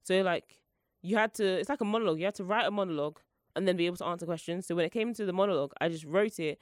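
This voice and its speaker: A woman's voice: fairly low pitch, rapid-fire, no room sound, clean studio sound. A young woman hosting her own solo podcast, chatting in a rambling, playful and self-mocking way, her voice congested from the flu. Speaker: podcast host.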